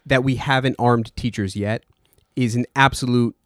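A man's voice: medium pitch, fairly even intonation, normal speed, a bit bright, no room sound, studio quality. A man co-hosting a satirical comedy chat, delivering ironic claims in a mock-earnest tone. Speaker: podcast co-host.

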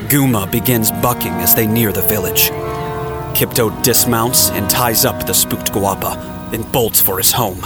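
A man's voice: on the low side, measured pace. Male dramatic narrator over prominent music. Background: music and sound effects.

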